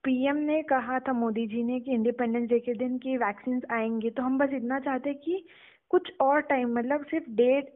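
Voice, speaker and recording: A young woman with an Indian accent and a slightly high voice speaks as a student caller. She is deferential as she makes her appeal. The sound is narrow and muffled, from a recorded phone call. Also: fairly even intonation, moderate pace. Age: young adult. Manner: deferential, often saying "sir". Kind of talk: phone conversation, making an appeal. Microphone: telephone.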